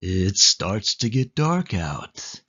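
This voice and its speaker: A man reads a children's picture book aloud in an animated, expressive storytelling style with deliberate phrasing. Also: fairly low pitch, husky, dull audio. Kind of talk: animated read-aloud narration for young children.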